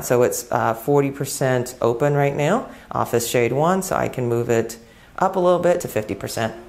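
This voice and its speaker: Very low-pitched woman giving a tech tutorial. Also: darkish tone. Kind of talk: step-by-step product demo and tutorial.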